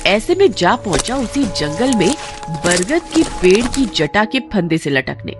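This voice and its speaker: An expressive woman tells a children's story in a scripted storybook manner. Her pitch rises and falls with plenty of life. Music plays underneath. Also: a little slow. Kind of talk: scripted narration of a kids story. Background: background music.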